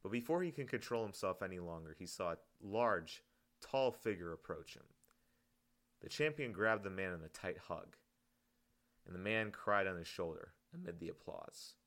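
A man's voice: low-pitched, expressive, moderate pace, fairly bright tone. A man reading his own story as scripted audiobook narration.

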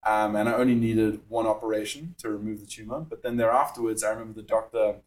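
A man's voice: lowish voice, little pitch movement, a little mellow. South African man explaining at length. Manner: explanatory, measured, with frequent fillers. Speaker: startup founder. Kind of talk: podcast interview.

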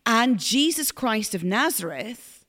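A woman with a big, loud voice, co-hosting a podcast and telling lively stories. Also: a little high, slow. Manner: big loud voice, animated storyteller. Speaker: podcast co-host. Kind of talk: casual storytelling chat.

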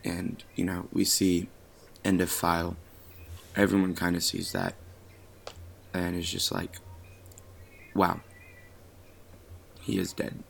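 Young male podcast co-host, low, gravelly, lost-his-voice hoarseness, casual delivery, faint noise behind. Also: monotone, slow pace, pauses often. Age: early 20s. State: hoarse from losing his voice, tired. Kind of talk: casual pop culture podcast chat. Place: hotel room on a trip.

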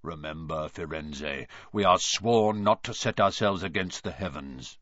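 A man's voice: low voice, measured pace, fairly bright tone, no echo, voice pushed down. A man reading aloud and voicing a proud, hot-tempered male centaur with a growling, thunderous delivery. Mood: stern. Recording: dull, muffled recording.

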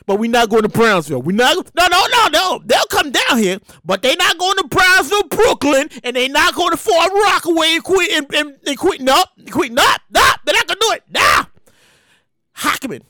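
A podcast host, talking casually and comically in an animated, slangy way with lots of repeated words. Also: high-pitched, normal speed, no room sound, studio quality. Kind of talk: casual comedic monologue.

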